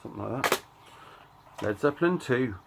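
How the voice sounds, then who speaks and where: A middle-aged man giving a casual show-and-tell of his collection, talking in a halting, offhand way and reading out titles in short bursts. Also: mid-range voice, animated, gravelly, fairly bright tone, slight background noise. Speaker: record collector and channel host.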